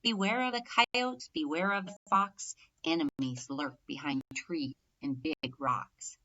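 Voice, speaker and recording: A woman's voice: low-pitched, a little slow, pushed and bright, lo-fi sound, voice pushed down, full character voice. A woman voicing an anxious mother turkey hen in a children's storybook, warning her young in urgent, instructive rhyme. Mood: stern warning. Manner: urgent, instructive, rhyming delivery.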